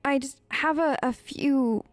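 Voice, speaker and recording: A woman's voice: high voice, slow, no echo, soft background music. A woman streamer role-playing her character in a game, chatty and emotive, with hesitant fillers. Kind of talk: role-play let's play chat.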